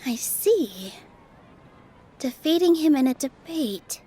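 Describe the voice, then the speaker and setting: A woman voicing a gentle, shy young dancer who sounds soft-spoken and unsure of herself, in a video game with music behind her. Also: sits high, big pitch swings, slow, heavily acted. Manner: soft-spoken and self-doubting.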